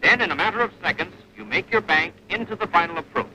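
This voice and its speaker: Lively 1940s training film narrator, man. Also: sits high, fast talker. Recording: noisy old 1940s film soundtrack.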